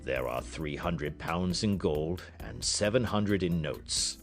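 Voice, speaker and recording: A man voicing an anxious, proud king in a grave, formal, deliberate manner, in an audiobook story. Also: low voice, animated, soft background music, voice pushed down, heavily acted. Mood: solemn, earnest.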